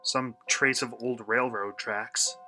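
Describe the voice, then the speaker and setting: A man's voice: mid-range voice, steady tone, slow pace, bright, forceful tone, soft background music. An actor playing a brash, uneasy young male vlogger in a radio play, talking in a slangy, sarcastic, theatrical way. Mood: frustrated, bitter. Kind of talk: radio play acting, first-person monologue.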